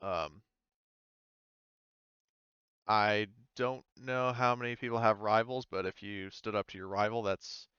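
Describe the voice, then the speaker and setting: A man's voice: fairly low pitch, steady tone, measured pace, pauses often, fairly bright tone, smooth, no echo, lo-fi sound. A man casually running a tabletop roleplaying game as game master, speaking informally with some swearing.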